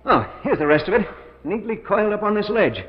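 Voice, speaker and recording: A man's voice: sits high, big pitch swings, fairly quick. Tense, desperate man in old radio drama. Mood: uneasy. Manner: emphatic, melodramatic. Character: tense guilty man trapped in a cave, desperate schemer. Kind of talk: 1940s radio acting. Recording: muffled 1940s radio recording, reverberant.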